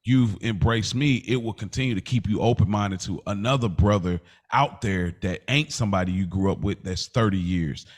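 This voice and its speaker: Male podcast co-host, lowish voice, earnest and heartfelt, casual slang, leans on repeated phrases.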